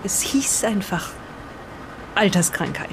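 A woman's voice: mid-range voice, expressive, takes it slowly. A woman playing a guarded, weary lighthouse keeper's wife in an improvised audio drama, speaking hesitantly. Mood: hesitant, confiding. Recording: clearly noisy background, vehicle-like ambience.

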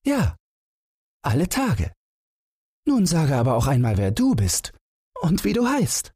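A man's voice: fairly high pitch, wildly swooping pitch, unhurried, darkish tone, slightly rough, no echo, voice pushed up, full character voice. A man voicing a cheerful, curious young princess in a fairy tale audiobook, chatty, playful and artless. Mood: cheerful, carefree.

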